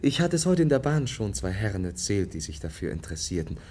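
A very low-pitched young gentleman, a naive Russian prince from a radio play. He is earnest and candid, choosing polite, formal words and now and then hesitating. The delivery is mannered 1950s German stage-radio speech. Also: big pitch swings, fast. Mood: open, hesitant. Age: young adult. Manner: formal, courteous, hesitant in places.

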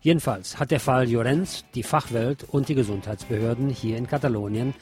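A man's voice: medium pitch, fairly quick, over loud music. A man hosting a radio news programme, reading reports and adding his own commentary. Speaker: radio host.

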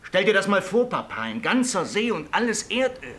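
An actor playing an excitable, cocky young son in a radio drama, eager and breezy in manner. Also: male voice, high voice, normal speed. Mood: excited. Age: young adult. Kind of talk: German radio drama acting.

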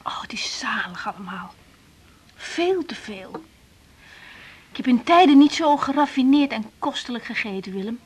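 An actress with a Netherlands Dutch accent playing a tender, shy young doctor, hesitant and soft, in a 1980s radio drama. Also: female voice, on the high side, expressive, normal speed, pauses often, slightly rough. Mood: tender, moved. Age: young adult. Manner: hesitant, soft, repeating words. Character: tired young woman doctor, tender, slightly shy dinner guest.

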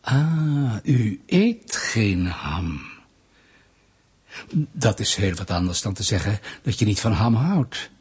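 An actor playing a smug, menacing Soviet state interrogator in a radio drama, mock-polite, needling and deliberate. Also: male voice, on the low side, very animated, a little mellow, breathy edge, full character voice. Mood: triumphant.

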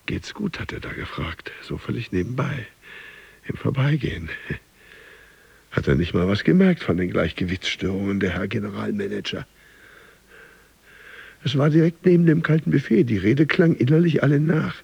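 A disgruntled office worker in a radio play. His voice is husky and gravelly, swinging between grumbling emphasis and breathy muttering, neurotic and brooding. The recording is a little dull, with faint music behind. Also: mid-range voice, expressive, moderate pace, long pauses, darkish tone, no room sound, heavily acted. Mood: aggrieved, muttering. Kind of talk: radio drama monologue.

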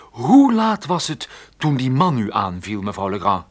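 A man's voice: mid-range voice, wildly swooping pitch, unhurried. An actor playing a polite, level-headed male detective in a radio play, courteous and questioning in his delivery. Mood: calm, probing.

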